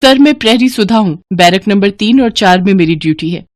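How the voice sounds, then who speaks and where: Dutiful woman guard in a storybook drama, done by a female narrator, respectful, pleading, lively pitch. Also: brisk, no echo, natural voice.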